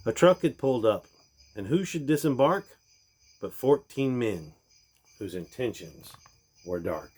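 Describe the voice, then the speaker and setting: A man reading a rhyming storybook aloud in a theatrical way, with deliberate pauses. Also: medium pitch, lively intonation, a little mellow, breathy edge. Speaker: reader of a storybook.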